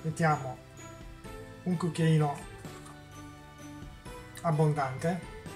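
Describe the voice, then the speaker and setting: A man's voice: fairly high pitch, fairly even intonation, extremely slow, darkish tone, faint music behind. A man hosting a cooking demonstration in a home kitchen, explaining each step and answering his own questions. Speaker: cooking show host.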